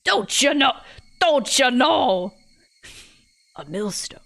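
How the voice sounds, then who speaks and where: Woman acting a stubborn old country woman, high-pitched. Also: lively intonation, slow, a bit bright, exaggerated voice. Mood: emphatic. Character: stubborn, long-winded old country woman, proud storyteller.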